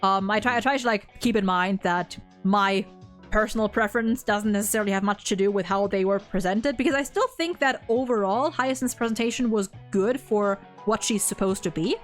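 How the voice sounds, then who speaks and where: A woman's voice: mid-range voice, fairly quick, faint music behind. A woman chatting casually and effusively as a host discussing a game's story, with plenty of enthusiasm. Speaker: video host.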